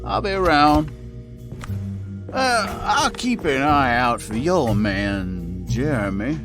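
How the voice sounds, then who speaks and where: A man's voice: medium pitch, sing-song, slow pace. An actor playing a sly male bargainer in a horror game, with a drawling, insinuating manner. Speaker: video game character. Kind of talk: dramatic video game acting. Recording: music behind the voice. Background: music.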